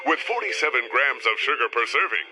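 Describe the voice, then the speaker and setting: An actor playing a theatrical, enthusiastic 1950s advertisement announcer in a salesmanlike style. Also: male voice, medium pitch, animated, a little fast, noisy background, lightly acted. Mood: upbeat selling. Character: hammy retro 1950s male advertisement and movie-trailer announcer, booming enthusiasm. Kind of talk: mid-century radio advert announcing. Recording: music bed behind voice.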